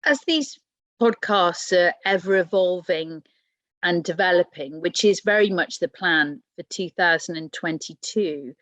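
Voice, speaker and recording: A woman's voice: fairly low pitch, a little slow, fairly bright tone, no echo. A woman interviewing a podcast host, asking questions in a friendly, flowing way. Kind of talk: conversational interview.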